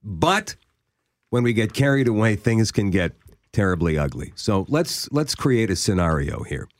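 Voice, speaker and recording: A man's voice: lowish voice, big pitch swings, moderate pace, dry recording. A Canadian man hosting a casual talk show, setting up scenarios and quizzing his co-hosts. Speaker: host. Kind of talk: casual radio chat.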